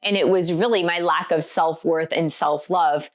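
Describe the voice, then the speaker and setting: A middle-aged woman with a slightly hoarse voice, hosting her own author vlog and speaking candidly and reflectively about her past relationships. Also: on the low side, steady tone, moderate pace. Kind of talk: personal vlog talk to camera.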